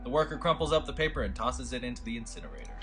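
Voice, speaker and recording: A man reading the scene headings and action lines of a screenplay in a table read, in a plain, steady way. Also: medium pitch, very fast, soft background music. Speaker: script reader of stage directions.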